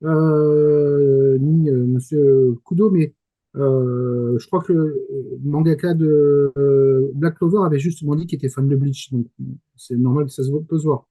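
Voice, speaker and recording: A man's voice: fairly high pitch, fairly even intonation, a little slow, dark tone, low-quality recording. A man, chatting casually as a panelist and hesitantly thinking aloud. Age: 40s. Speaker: talk show panelist.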